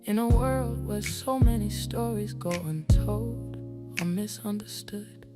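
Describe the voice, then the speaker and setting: A woman giving a slow, deliberate spoken-word introduction. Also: on the high side, steady tone, loud music behind the voice. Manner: slow, deliberate, poetic delivery.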